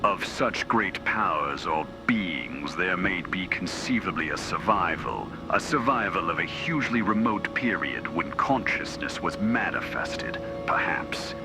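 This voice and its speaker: Under very loud music, a solemn horror prologue reader. The voice is very deep and hushed, drawing out each phrase slowly with portentous, theatrical weight. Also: male voice, expressive, creaky, full character voice. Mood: ominous, solemn. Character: solemn, ominous male reader of an ancient epigraph. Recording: very loud music bed behind voice.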